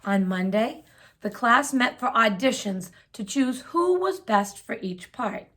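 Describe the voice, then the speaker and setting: A woman reading a children's picture book aloud in a gentle, expressive storytelling manner. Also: a little high, unhurried.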